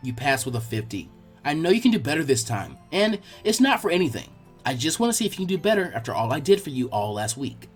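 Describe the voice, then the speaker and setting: Casting: pushy, studious young female archivist, done by a man, raised voice, animated and fussy. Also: fairly high pitch, fast, husky, faint music behind. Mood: insistent. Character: eager, bookish girl archivist, proud and pushy. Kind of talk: fan fiction character reading.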